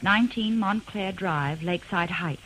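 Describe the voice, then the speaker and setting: A woman's voice: fairly low pitch, moderate pace, fairly bright tone, close to an everyday voice, rough recording. A woman playing a wry young detective's assistant in a 1940s radio drama. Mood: wry, teasing. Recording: hissy old radio drama recording.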